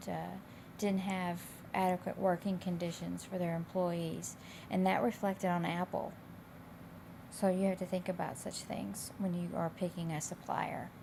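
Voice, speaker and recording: Woman, college instructor, raspy slightly hoarse voice, lowish pitch, little melodic variation, informal conversational explaining. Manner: casual, loosely phrased, conversational. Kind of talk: informal explanation of course assignments. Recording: clear background noise.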